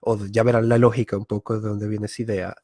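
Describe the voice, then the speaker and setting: A man from Venezuela, a YouTuber giving a live online masterclass, thinking aloud with filler pauses and frequent self-corrections. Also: on the low side, little pitch movement, warm tone, dry recording, studio quality. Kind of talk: live-streamed talk.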